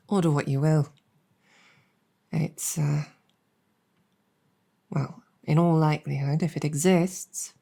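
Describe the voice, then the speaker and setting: Female demon general, acted by a woman: deep-voiced, mellow, gracious and wry, soft-spoken ASMR roleplay. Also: vocal fry, heavily acted. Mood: relaxed, friendly. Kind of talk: improvised ASMR roleplay storytelling.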